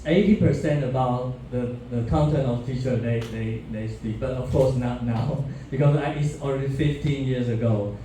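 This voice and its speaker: A man in his thirties from Taiwan giving a talk to university students, halting, with many restarts and fillers. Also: medium pitch, mellow, recorded from a distance, a little hiss or hum. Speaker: guest speaker. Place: university classroom. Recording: echoey lecture room from afar.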